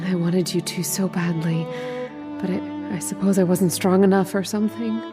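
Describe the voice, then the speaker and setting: A woman plays a dreamlike lover who is tender, insistent and eerily knowing. The voice sits a little low and is intimate, in old-time radio horror melodrama. Violin music plays clearly underneath. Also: fairly even intonation, normal speed, natural voice. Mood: tender, anxious.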